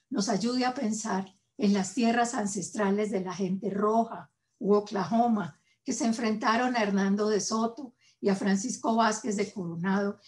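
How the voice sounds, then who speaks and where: A woman's voice: medium pitch, darkish tone. Solemn Colombian woman giving formal address. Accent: Colombian Spanish. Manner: measured, solemn, reads with deliberate pauses. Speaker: host.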